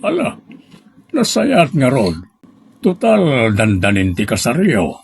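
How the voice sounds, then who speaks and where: A man's voice: medium pitch, wildly swooping pitch, slow pace, gravelly, breathy edge, exaggerated voice. A man playing a stern, guarded middle-aged father of a bride in an audio drama. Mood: guarded, casual. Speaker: drama actor. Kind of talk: Ilocano audio drama acting.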